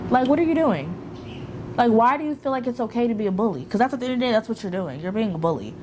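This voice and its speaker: A woman's voice: fairly high pitch, lively intonation, fast talker. Blunt, emphatic woman co-host talking casually over a noisy recording. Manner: blunt and emphatic, swears freely. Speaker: podcast co-host. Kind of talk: casual chat.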